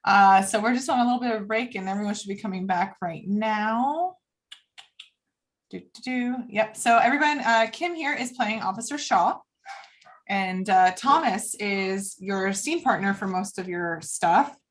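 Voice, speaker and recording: A woman's voice: medium pitch, measured pace. A woman hosting a group video call in a casual, organizing manner, directing people as they chat. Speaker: host of a cast table read.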